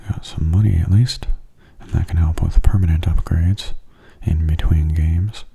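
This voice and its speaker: A man giving soft-spoken ASMR commentary over a game in a hushed, breathy murmur, calm and unhurried, close to the microphone. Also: a bass voice. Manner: calm, unhurried, musing aloud. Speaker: gaming ASMR host.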